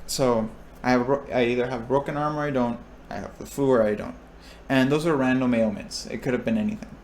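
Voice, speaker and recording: A man's voice: medium pitch, fairly even intonation, fast talker, darkish tone, a little hiss or hum. A young man explaining a probability lesson, thinking aloud with restarts and checking in with his listeners. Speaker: recitation instructor. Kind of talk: explaining a lecture topic.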